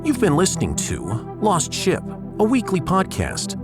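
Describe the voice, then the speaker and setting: Animated male podcast announcer over music. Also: high voice, normal speed. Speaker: podcast performer and producer. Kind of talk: scripted announcement.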